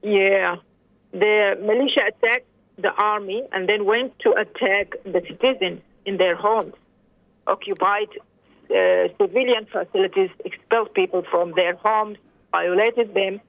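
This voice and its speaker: A middle-aged woman talks as a guest over the phone, hesitant and full of fillers. Her voice is gravelly and sits at a middle pitch. The line is tinny and dry. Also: unhurried, no room sound. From Sudan. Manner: hesitant, many fillers and restarts. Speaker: interview guest. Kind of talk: phone interview.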